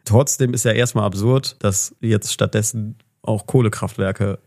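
A man co-hosting a podcast in casual chat, joking and teasing and quick to slip into silly voices. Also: lowish voice, steady tone, a little mellow. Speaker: podcast co-host.

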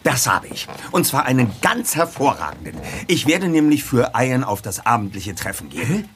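An actor playing a stubborn, eloquent young detective in a German audio drama, speaking in precise, formal, self-assured phrases. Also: male voice, mid-range voice, sing-song, moderate pace, fairly bright tone, a little hiss or hum.

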